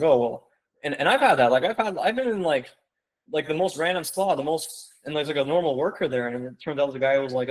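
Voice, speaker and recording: A man's voice: medium pitch, fairly bright tone, rough recording. Young man rambling through a webinar. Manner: rambling, many fillers like "like" and "you know"; addresses viewers as "guys". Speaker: webinar presenter. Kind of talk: casual online seminar talk.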